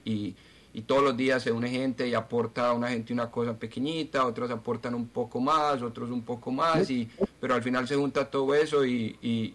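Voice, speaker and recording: A Colombian man answering interview questions as a football club president, speaking haltingly and repeating words as he searches for them. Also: mid-range voice.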